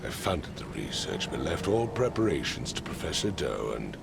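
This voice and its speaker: A stern, imperious aristocrat with a voice sunk deep into gravel. He talks formally and in command, his pitch rising and falling widely. A loud hiss of noise runs under the game cutscene. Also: big pitch swings, moderate pace, full character voice. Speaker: wealthy patron funding an expedition. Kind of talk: video game cutscene acting.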